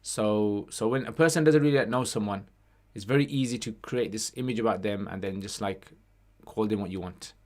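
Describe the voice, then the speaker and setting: A man's voice: lowish voice, normal speed, hardly any pauses, darkish tone. A man hosting a casual livestream Q&A, reading out questions and answering conversationally, with Arabic phrases sprinkled in.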